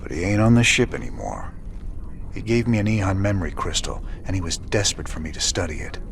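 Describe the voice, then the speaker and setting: An actor playing a weary, gruff, wry space rebel commander in a game, with a Southern US accent and folksy, laconic delivery. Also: male voice, deep voice, expressive, brisk, slight background noise. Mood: troubled. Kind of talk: dramatic sci-fi game cinematic acting. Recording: loud music behind.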